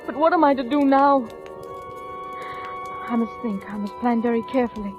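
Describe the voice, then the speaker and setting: An actress playing an anxious, haunted wife in a 1940s radio suspense drama, with intense, dramatic delivery. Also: female voice, fairly high pitch, normal speed, close to an everyday voice. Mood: haunted, dread. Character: anxious, haunted vaudeville wife longing for divorce. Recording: dull old radio recording with music.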